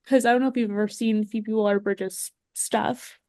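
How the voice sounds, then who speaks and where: A woman in casual conversation as a podcast panelist, discussing entertainment in a relaxed chat style. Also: mid-range voice, moderate pace, no room sound, studio quality.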